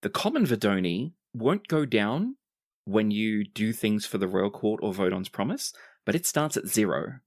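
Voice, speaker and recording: Game master, mid pitch, dry close recording, laying out rules and scenes for players. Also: big pitch swings, moderate pace, darkish tone, no room sound. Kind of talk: explaining tabletop game rules and narrating.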